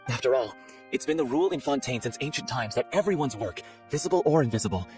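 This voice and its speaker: Fast, lively young stage actor, played by a man. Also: mid-range voice, soft background music, lightly acted. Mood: placating. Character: eager young male theater troupe actor. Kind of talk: scripted video game voice acting.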